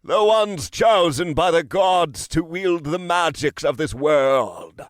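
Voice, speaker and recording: A man's voice: on the high side, animated, dry recording, clean studio sound, voice pushed up. A man voicing an overdramatic, pompous wizard roleplayer with a fake British accent in a fantasy audiobook. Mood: maniacal, grandiose. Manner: overdramatic, pompous, drawn-out proclamations. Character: hammy, maniacal wizard roleplayer under forty, grandiose and theatrical.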